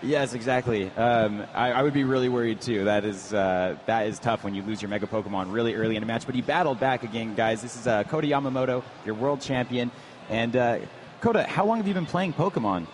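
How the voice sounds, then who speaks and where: A man's voice: medium pitch, fairly quick. A man hosting a live stage interview in a casual way, chuckling between questions. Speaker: stage host interviewing a champion. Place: event stage. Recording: noisy live event.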